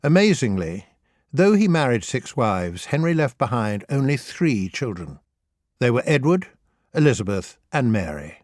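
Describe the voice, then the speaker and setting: Expressive man narrating, dry close sound. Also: mid-range voice, normal speed, no room sound. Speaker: audiobook narrator. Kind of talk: scripted narration of humorous children's history.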